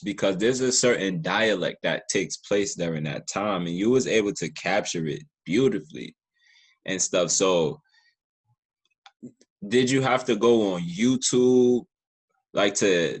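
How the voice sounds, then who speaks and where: A man with a very low voice hosts a conversational interview. He speaks at an unhurried, slow pace. His manner is appreciative, and he often repeats words to stress them. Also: husky. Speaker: podcast host interviewer.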